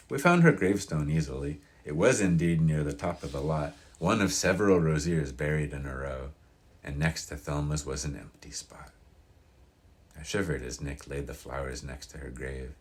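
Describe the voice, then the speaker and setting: A man reading fiction aloud as an audiobook narrator, in an expressive scripted style. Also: a bass voice, normal speed.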